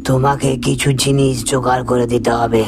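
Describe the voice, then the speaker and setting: A man voicing a solemn tantrik exorcist priest in a horror cartoon, with a deliberate, authoritative manner. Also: medium pitch, level tone, vocal fry, over music, natural voice.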